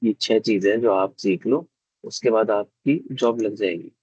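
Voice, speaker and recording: A hoarse male teacher giving a live online class, explaining step by step and often checking that students follow. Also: fairly low pitch, normal speed, darkish tone, lo-fi sound. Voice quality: husky, gravelly.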